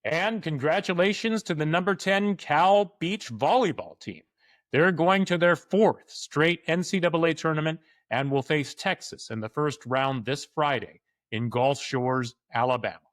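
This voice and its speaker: A man hosting a sports podcast, reading announcements and introducing a guest in an upbeat, smooth way. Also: fairly high pitch, measured pace, no echo, studio quality. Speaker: podcast host. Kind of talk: scripted podcast announcements and interview.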